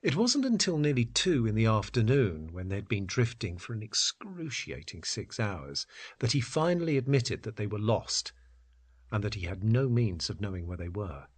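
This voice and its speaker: Low, husky male storyteller. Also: big pitch swings, moderate pace. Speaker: narrator. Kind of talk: dramatic literary story narration, acting out dialogue.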